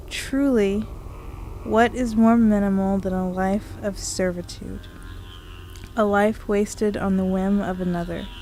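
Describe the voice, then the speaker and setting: An actress playing a refined, cold female killer who lectures with slow disdain. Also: medium pitch, warm tone, slight background noise.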